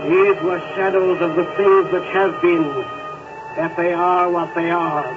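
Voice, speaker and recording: Male ghost, 1930s radio play: solemn, slow, commanding, higher voice; tinny, hissy broadcast. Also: flat delivery, music in the background. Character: solemn male ghost guiding an old miser. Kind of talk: 1930s radio drama acting.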